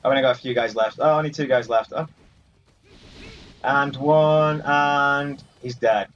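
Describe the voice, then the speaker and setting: A man's voice: mid-range voice, fairly even intonation, unhurried, fairly bright tone, slight background noise. Muffled man doing casual gaming commentary. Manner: jokey, mildly sweary banter, talking to the game's characters. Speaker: gaming video host. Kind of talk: casual let's play commentary. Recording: muffled lo-fi audio over game music. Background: video game music.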